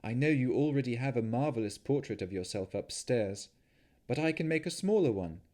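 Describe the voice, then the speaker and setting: A man's voice: medium pitch, expressive, fairly quick, a little mellow, voice pushed up, close to an everyday voice. Man voicing an earnest young woman warlock. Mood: coaxing.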